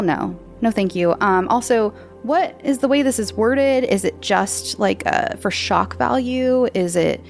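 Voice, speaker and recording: Chatty woman host with a gravelly voice. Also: a little high, darkish tone. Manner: chatty, meandering, many fillers and restarts. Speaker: podcast host answering listener questions. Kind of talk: casual rambling chat.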